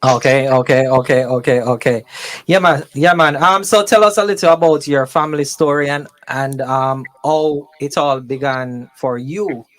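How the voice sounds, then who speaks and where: A male radio show host interviewing guests in a loose, slangy, energetic manner. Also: mid-range voice, moderate pace. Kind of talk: casual interview hosting.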